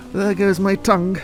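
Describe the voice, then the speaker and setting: A man acting a middle-aged father in an audio drama, smooth in manner, provocative and quietly needling. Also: high-pitched.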